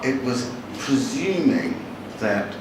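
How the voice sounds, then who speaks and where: Man, novelist, halting and wry; live talk, distant mic, heavy hall echo. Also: mid-range voice, very animated. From born in Bangladesh, raised in London. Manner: wry, chatty, halting with frequent restarts. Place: large hall with audience. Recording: echoey hall from far off, noisy.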